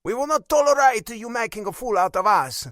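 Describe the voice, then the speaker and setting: A man acts out a stern, controlling older father with an Italian accent. He speaks in curt, disapproving commands, pitched up and emphatic. It is audiobook character work, recorded very dry. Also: expressive, a little fast, a bit bright, no room sound. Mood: scolding.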